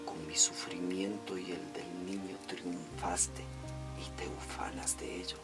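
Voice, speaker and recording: An actor in a radio drama playing a grieving, bitter lover, hushed and brooding. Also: deep voice, little pitch movement, measured pace, far from the mic, faint music behind. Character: grieving, bitter, wounded lover recalling lost love.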